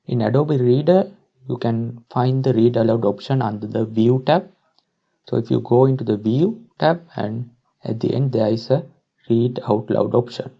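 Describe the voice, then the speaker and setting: A man presenting a step-by-step software tutorial as a screen-recording voice-over. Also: mid-range voice, moderate pace, creaky, husky, lo-fi sound. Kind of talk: instructional screen-recording narration.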